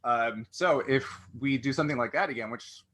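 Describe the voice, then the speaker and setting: A man with a strikingly bright, forward voice hosts a casual interview. His pitch sits in the middle for a man, and he rambles a little, thinking aloud and restarting his sentences. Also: normal speed, a little hiss or hum. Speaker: interviewer, show host.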